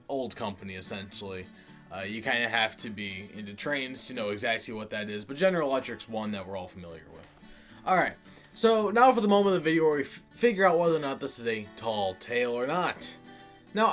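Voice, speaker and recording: An American man hosting a video series, telling stories and chatting casually to viewers in a folksy way. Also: mid-range voice. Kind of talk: casual scripted storytelling and chat to viewers.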